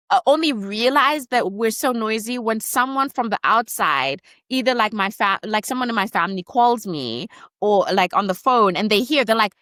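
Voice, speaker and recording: A woman's voice: a little high, a bit bright, no room sound, studio quality. A woman in her thirties hosting a podcast interview, chatty and relatable, with plenty of filler words. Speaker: podcast host. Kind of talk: conversational interview.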